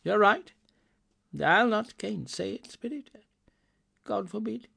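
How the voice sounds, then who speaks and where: A man voicing an excitable, sentimental old man in a storybook reading, exclamatory and wistful. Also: high voice, slow, creaky, slightly rough, voice pushed up, heavily acted. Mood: agreeing, earnest.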